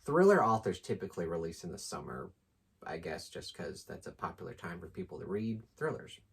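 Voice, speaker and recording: Male co-host, low slightly rough voice, relaxed unscripted book chat, jokey asides. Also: brisk.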